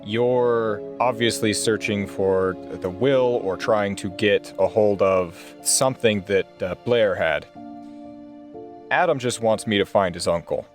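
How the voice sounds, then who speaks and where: Male investigator voiced by a player, lowish pitch, measured and direct, tabletop noir, music underneath. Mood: measured, serious. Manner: blunt, measured, earnest. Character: male private investigator, blunt and steady under pressure.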